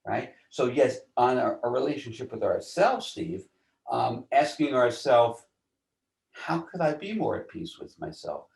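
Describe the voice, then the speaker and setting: Creaky-voiced man in a roomy space. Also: mid-range voice, sing-song, a little mellow. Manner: deliberate, didactic, uses rhetorical questions. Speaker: psychotherapist interview guest. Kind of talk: explanatory interview talk. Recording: roomy, echoing voice.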